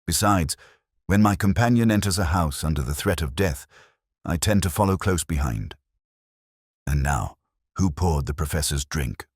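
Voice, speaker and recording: A man's voice: low-pitched, expressive, moderate pace, husky, no echo. A man voicing a precise, commanding male detective with dry wit and a clipped manner in an audiobook. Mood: dry, probing. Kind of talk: audiobook character dialogue.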